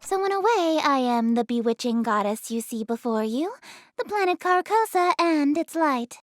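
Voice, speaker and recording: A woman's voice: high voice, expressive, moderate pace, no echo, clean studio sound. A woman voicing a haughty, easily flustered eldritch goddess in an anime-style game, speaking in a theatrical, formal, imperious way. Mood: regal, composed. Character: haughty, easily flustered regal eldritch goddess, cute young-sounding female. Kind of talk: anime-style visual novel game voice acting.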